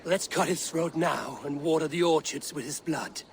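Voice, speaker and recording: Man playing blunt Viking protagonist, somewhat raised pitch, businesslike game dialogue, light background noise. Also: fairly even intonation, moderate pace, lightly acted. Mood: bloodthirsty. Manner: terse, businesslike. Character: grim, direct Viking warrior hero. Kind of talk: video game dialogue acting.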